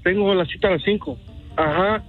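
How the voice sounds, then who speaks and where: A man's voice: high-pitched, brisk, faint music behind. A man answering questions on a phone call, hesitant and full of fillers, keeping his answers short. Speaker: prank call victim.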